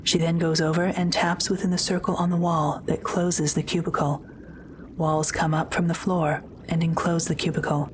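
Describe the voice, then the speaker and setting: A female narrator tells a sci-fi audio drama from a script. Her voice sits fairly low, and there is noticeable ambient noise behind her. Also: flat delivery, moderate pace.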